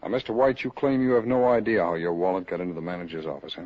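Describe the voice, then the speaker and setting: An actor playing a businesslike, courteous but firm investigator in a 1940s radio drama, speaking in a formal, matter-of-fact way. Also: male voice, low-pitched, steady tone, racing, music in the background, close to an everyday voice. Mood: firm, questioning. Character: businesslike male lawman, firm, methodical, courteous interrogator. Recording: muffled, husky old radio recording.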